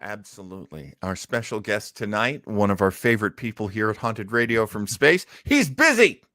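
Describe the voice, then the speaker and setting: Animated man hosting a casual show. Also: fairly low pitch, normal speed, no room sound, clean studio sound. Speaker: show host. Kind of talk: casual unscripted show presenting.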